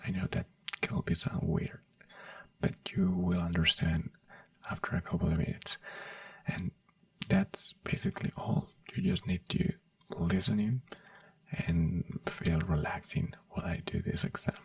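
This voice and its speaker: A man speaking softly and gently as an ASMR roleplay doctor, reassuring the listener in halting, whispery phrasing. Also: low-pitched, wildly swooping pitch, creaky, dry recording, distant mic. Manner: halting non-native phrasing with fillers. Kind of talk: soft-spoken ASMR roleplay.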